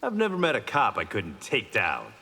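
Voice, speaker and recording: An actor playing a tough, brash reformed criminal man turned agent in a video game, with a rough voice. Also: lowish voice, wildly swooping pitch, normal speed, heavy background noise, exaggerated voice. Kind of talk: video game character dialogue. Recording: long hall reverb.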